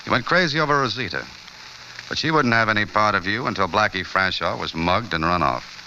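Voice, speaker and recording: A man's voice: on the low side, a little fast, dull audio, exaggerated voice. A man acting a terse, brooding American newspaperman in an old radio drama, wry and pressing in his questions. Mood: menacing, sardonic. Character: hard-boiled, brooding newspaperman investigating a young woman's death. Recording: noisy old radio recording, steady hiss.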